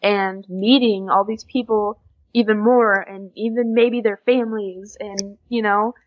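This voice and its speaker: A young woman with an American accent talks casually as an interview guest. She has a mid-pitched voice and a chatty storytelling manner. The recording is a little dull and lo-fi. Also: a little slow. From USA. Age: young adult. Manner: chatty, animated storytelling with quoted dialogue and frequent giggles. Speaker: podcast guest, exchange student. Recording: slightly dull, lo-fi audio.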